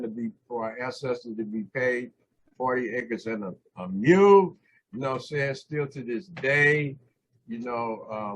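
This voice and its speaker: Hoarse older man hosting, throat-clearing. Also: medium pitch, fairly bright tone, rough recording. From California. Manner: loose, repeats "you know what I'm saying", frequent throat clearing. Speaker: host. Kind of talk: casual talk show monologue.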